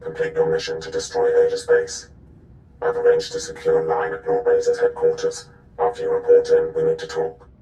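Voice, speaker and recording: An actor playing a secretive, cautious informant in a video game, speaking tersely through a heavy electronic voice scrambler. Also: male voice, very high-pitched, monotone, a little fast, mellow. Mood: guarded, urgent. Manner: terse, cryptic. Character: cryptic disguised ally, cautious and secretive informant. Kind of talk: scripted video game acting. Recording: echoing, electronically processed voice.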